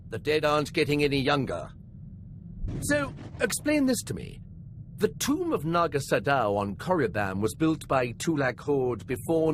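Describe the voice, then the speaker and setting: An actor playing an eager, chatty archaeologist in a video game, with a fussy, enthusiastic scholarly manner. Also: male voice, a little high, slight background noise.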